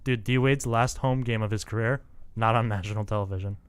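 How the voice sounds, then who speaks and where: A man's voice: on the low side, steady tone, a little fast, clean tone. A young man co-hosting a sports podcast, rambling in casual chat and laughing often. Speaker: podcast co-host.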